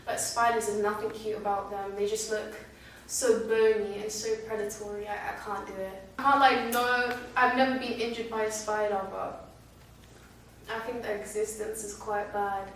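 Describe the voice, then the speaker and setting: A woman's voice: medium pitch, normal speed. A woman in her late teens giving casual interview answers, emphatic, often saying "like" and "literally". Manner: animated, emphatic, heavy use of "like" and "literally". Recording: echoey room, mic far off, faint hiss.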